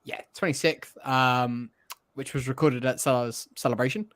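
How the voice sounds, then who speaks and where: A man's voice: medium pitch, fairly even intonation, a little slow, fairly bright tone, no echo. A man co-hosting a podcast, chatting casually and conversationally. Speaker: podcast co-host. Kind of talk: casual chat.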